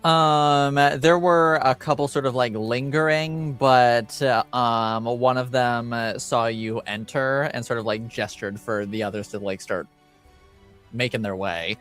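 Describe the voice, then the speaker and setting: A man runs a tabletop game with casual, improvised narration. He speaks haltingly at a medium pitch. Faint music plays behind him. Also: steady tone, fairly bright tone. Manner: hesitant, halting. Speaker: game master.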